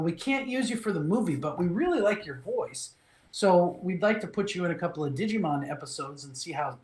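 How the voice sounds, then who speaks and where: A middle-aged man from Minnesota recounts his acting career in a relaxed, storytelling way. He restarts his phrases often and gets animated when acting out what others said. The room around him is echoey and bounces his voice back. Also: a little high. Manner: animated, restarts phrases, acts out quoted lines. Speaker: voice actor, interview guest.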